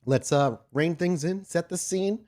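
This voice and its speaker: A man's voice: fairly high pitch, unhurried, a little mellow. A man hosting a tabletop role-playing podcast as storyteller, speaking in an informal, chatty way. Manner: informal, chatty, swears casually. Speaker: podcast host and game storyteller. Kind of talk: casual podcast intro, then improvised tabletop game narration.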